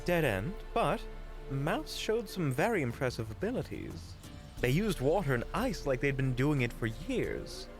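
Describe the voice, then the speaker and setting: Man acting a concerned, expressive doctor. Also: a little high, measured pace, soft background music. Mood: puzzled, earnest. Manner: concerned, reassuring. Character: caring, level-headed male doctor on an investigation. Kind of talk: dramatic audio roleplay acting.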